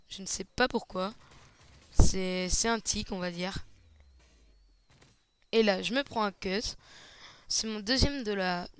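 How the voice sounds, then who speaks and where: A man giving casual, hesitant play-by-play commentary over a shooter match, slightly hoarse, with lots of fillers. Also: sits high, slight background noise. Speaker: gaming channel host. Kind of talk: gameplay commentary.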